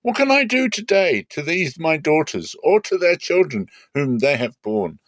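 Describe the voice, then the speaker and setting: A man's voice: on the high side, big pitch swings, moderate pace, no echo, voice pushed up. A man voicing a possessive, stern older patriarch in a dramatic scripture reading, speaking with emphatic, insistent declamation.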